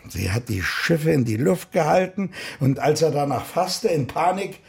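An actor playing an older, opinionated ship-club member in a radio drama, talking colloquially in a gravelly voice. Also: male voice, on the high side, expressive, darkish tone. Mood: confiding, bitter. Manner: colloquial, halting asides. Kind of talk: naturalistic radio drama acting.

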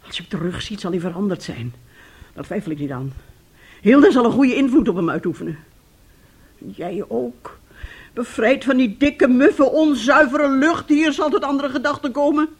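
A woman's voice: a little high, animated, moderate pace, breathy edge. An actress playing a domineering, weary older mother in a radio play, speaking in a stern, emphatic way. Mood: insistent.

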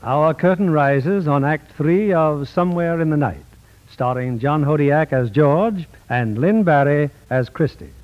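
A man's voice: fairly high pitch, animated, measured pace, dull audio. A man hosting a 1940s radio show with scripted, formal presenting. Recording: echoey hall, noisy old radio.

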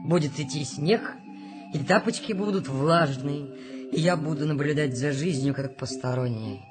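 A man's voice: on the high side, moderate pace, lightly acted. An actor in a radio play playing a whimsical unborn man who broods on life in terse, wistful phrases. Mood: gloomy. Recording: music behind the voice.

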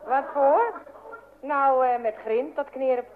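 An actress playing a chatty, earnest young housemaid in a radio comedy. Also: female voice, high voice, slow pace. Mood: earnest, relishing. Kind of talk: old radio comedy-drama acting. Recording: tinny, noisy old radio recording.

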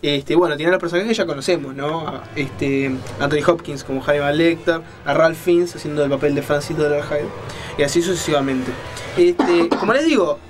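A man's voice: on the high side, fairly quick, music in the background. A man hosting a radio show, giving conversational, explanatory commentary on books and films and often checking in with little question tags. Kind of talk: conversational book and film commentary.

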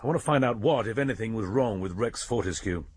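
Husky, shrewd English police inspector. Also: male voice, fairly low pitch, animated. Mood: musing, businesslike. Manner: measured, probing. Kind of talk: British radio drama acting.

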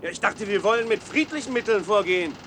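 Naiver Wissenschaftler mit hoher Stimme. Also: male voice, high voice, expressive, fast talker, fairly bright tone, a little hiss or hum. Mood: puzzled. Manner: questioning, earnest, unsettled. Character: naive, idealistic male scientist, troubled and well-meaning. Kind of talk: German science-fiction radio play acting.

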